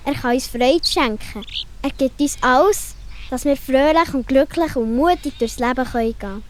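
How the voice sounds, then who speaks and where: Woman playing high-voiced caring little forest friend Lila. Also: moderate pace, natural voice. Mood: gently explaining. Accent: Swiss German. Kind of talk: children's radio play acting.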